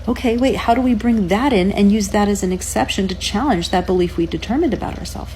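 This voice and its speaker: Warm-voiced woman coaching with questions. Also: medium pitch, quick pace, a little hiss or hum. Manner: earnest, coaching with rhetorical questions. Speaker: relationship advice creator. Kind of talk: casual advice talk.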